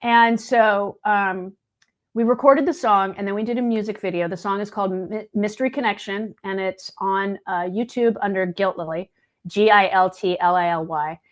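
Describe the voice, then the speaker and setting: Woman, late 50s, conversational storyteller with an average-pitched voice, slipping into quoted dialogue as she goes. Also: steady tone, normal speed. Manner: chatty, anecdotal, acts out quoted dialogue. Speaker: guest, singer and video editor. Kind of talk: casual chat, storytelling.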